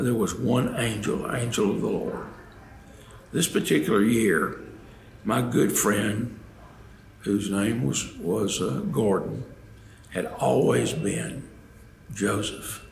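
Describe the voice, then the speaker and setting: An older man speaks slowly in a heavily creaky, gravelly voice, telling folksy stories to a church group. He leaves long pauses and leans on key words for emphasis. The sound is roomy and echoing. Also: on the low side. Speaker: storyteller. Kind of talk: informal holiday storytelling talk to a church group. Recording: roomy echo, faint background noise.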